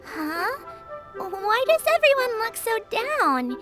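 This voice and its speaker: A woman voicing a tiny, cheerful, childlike girl companion in an animated video game, chatty and naive. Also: extremely high pitch, slow pace, exaggerated voice. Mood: innocent, earnest. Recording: loud music under the voice. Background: tinkling, playful music.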